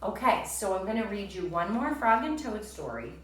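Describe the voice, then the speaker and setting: A woman's voice: mid-range voice, expressive, moderate pace. A woman who sounds like a teacher, narrating a storybook and speaking directly to young listeners. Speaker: teacher reading aloud to children. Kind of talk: storybook narration and comprehension prompts. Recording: roomy, distant, faint background noise.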